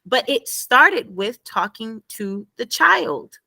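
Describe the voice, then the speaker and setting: Woman, bright voice, lower pitch, dry close sound, animated storyteller, laughs a lot. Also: slow, gravelly, no echo. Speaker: guest, former math teacher. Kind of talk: conversational interview.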